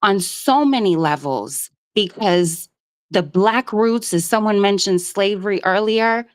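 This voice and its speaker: A woman from Jamaica calling in to a live show, speaking earnestly and at length, with many pauses and "um"s. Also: mid-range voice, expressive. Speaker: caller. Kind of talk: casual call-in commentary.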